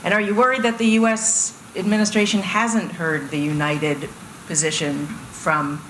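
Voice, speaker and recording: Brief: low-pitched woman journalist projecting from the back of a hall; roomy, noisy pickup. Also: level tone, moderate pace. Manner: speaks loudly from far back. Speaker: radio journalist asking a question. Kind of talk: audience question at a panel. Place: large conference hall audience. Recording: roomy hall, distant voice, clear background noise.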